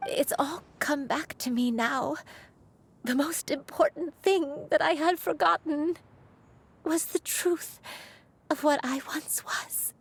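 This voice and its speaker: A woman voicing a gentle elderly grandmother, soft and apologetic as her memories return. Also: sits high, slow pace, vocal fry, full character voice. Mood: tender.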